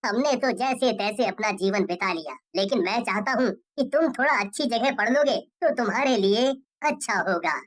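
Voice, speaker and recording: A performer voicing a humble, kind male shopkeeper, a loving husband and father who speaks gently and earnestly in a cartoon story. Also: mid-range voice, moderate pace, few pauses, voice pushed down, lightly acted.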